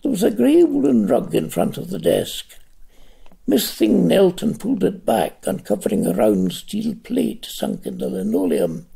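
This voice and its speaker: An older man reading his own novel aloud at home, in a slow, dramatic literary reading. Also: huge pitch swings, creaky, dark tone, slightly rough. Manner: slow, dramatic reading with wide swings of pitch.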